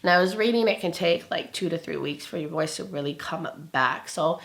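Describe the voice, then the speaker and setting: A woman in her thirties with a raspy, gravelly voice as she recovers from laryngitis. She chats through a makeup review in a casual, upbeat way and often goes softer while she applies product. She talks close to a camera in her home filming room. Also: lowish voice, brisk. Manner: chatty and upbeat, often drops to a quieter tone while applying. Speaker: beauty vlogger. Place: home filming room with shelves and vanity lights.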